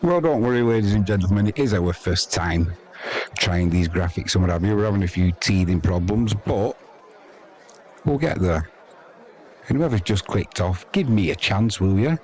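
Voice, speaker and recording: A man's voice: low-pitched, lively intonation, normal speed, a little mellow. A man hosting a live cricket score stream with casual commentary, chatty, informal and self-deprecating. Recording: crowd noise behind the voice. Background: sports crowd.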